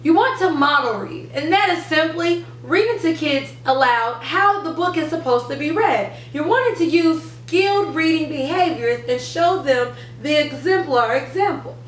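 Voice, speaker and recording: High-voiced woman teaching, roomy sound. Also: animated, normal speed, few pauses. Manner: clear step-by-step explaining, stressing key words. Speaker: reading teacher and channel host. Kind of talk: instructional how-to presentation. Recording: roomy, distant mic, faint noise.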